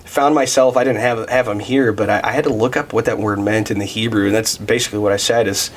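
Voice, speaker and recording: A man in his thirties with a fairly low voice teaches from scripture in a relaxed, conversational way. He thinks aloud as he goes, often restarting his sentences and filling pauses. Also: slight background noise. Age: 30s. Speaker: Bible teacher. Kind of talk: casual devotional Bible teaching.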